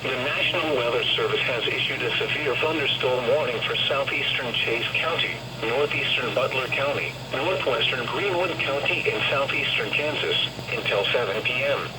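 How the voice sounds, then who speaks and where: A man's voice: medium pitch, normal speed, long pauses. A synthetic male voice reading a formal scripted warning bulletin impersonally over a weather radio. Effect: weather radio broadcast. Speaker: weather radio alert announcer. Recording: radio speaker picked up in noisy surroundings.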